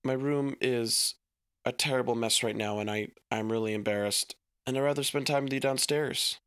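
A man's voice: medium pitch, steady tone, normal speed, fairly bright tone, clean tone, dry recording, clean studio sound, pitched above the performer's own voice, natural voice. A man voicing a nervous teenage boy who stammers and hides something. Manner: stammering, jittery.

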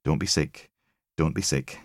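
A male narrator acts a gruff police detective inspector in an audiobook. He is curt and commanding, speaking like a boss to his junior officers. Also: extremely low pitch, unhurried, no room sound, studio quality. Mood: queasy.

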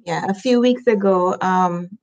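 A woman's voice: fairly low pitch, unhurried, darkish tone, lo-fi sound. A woman hosting an interview, talking in a reflective, conversational way and laughing lightly. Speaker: host.

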